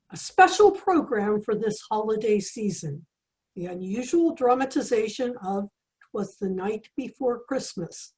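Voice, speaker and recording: A woman announcer introduces a radio drama in an old-time suspense style. Her fairly high voice moves slowly, with measured theatrical weight. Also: a little mellow, rough recording.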